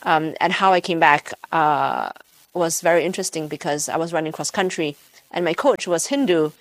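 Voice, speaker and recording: A woman raised in Malaysia, a physics professor, talks through her answers in a measured, reflective way. She often circles back and restarts a phrase before going on. Her voice sits a little low, recorded close and dry. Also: no echo. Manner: thoughtful, many restarts and fillers. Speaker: physics professor, interview guest. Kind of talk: interview answers.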